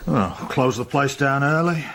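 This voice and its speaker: A man acting a thoughtful middle-aged police detective in a radio drama, terse and quietly probing. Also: medium pitch, moderate pace, vocal fry, noisy background, close to an everyday voice. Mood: measured. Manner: understated, terse.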